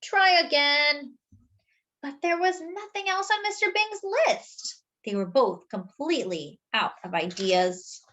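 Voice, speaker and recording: A woman's voice: sits high, unhurried, few pauses, fairly bright tone. A woman storyteller reading a picture book aloud for children, with explanatory asides to young listeners.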